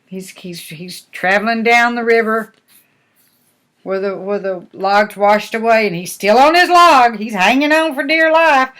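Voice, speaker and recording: A woman's voice: fairly high pitch, measured pace, fairly bright tone. An older woman, a grandmother, reading a storybook aloud with folksy, chatty asides and questions to the listener.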